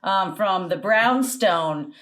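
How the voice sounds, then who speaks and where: A woman's voice: mid-range voice, expressive, a loud crowd. Woman cracking jokes in a made up answer, teasing the others, easy mid voice. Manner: quick-witted, teasing. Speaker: podcast contestant. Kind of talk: improvised comedic answer.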